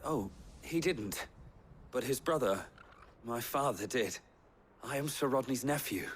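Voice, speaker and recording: A man's voice: medium pitch, expressive, measured pace, a little hiss or hum, lightly acted. A man playing an eager young noble who hopes to be a squire, formal and courteous in a game drama. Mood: respectful. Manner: formal, courteous, nervously deferential. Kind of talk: video game drama acting.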